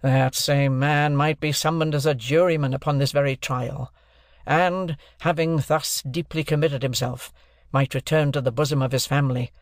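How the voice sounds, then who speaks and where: A man voicing a bullying, sarcastic gentleman who cross-examines in a hectoring, authoritative manner for an audiobook. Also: fairly high pitch, fairly even intonation, moderate pace, no echo, studio quality, natural voice. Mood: accusing. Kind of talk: classic novel narration, character voice.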